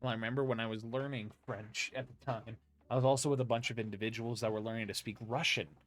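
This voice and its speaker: A young American man hosting a casual interview, rambling with lots of restarts and getting animated when he tells a story. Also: mid-range voice, fast. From United States. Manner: rambling, many fillers and restarts, animated when telling stories. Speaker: podcast host.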